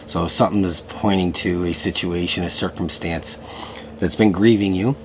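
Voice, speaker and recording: A man giving a casual tarot reading to camera, slightly hoarse from a lingering cough, talking in a loose way with many fillers. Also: sits low, normal speed, slight background noise. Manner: relaxed, loose, full of fillers and restarts. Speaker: tarot reader. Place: room at home.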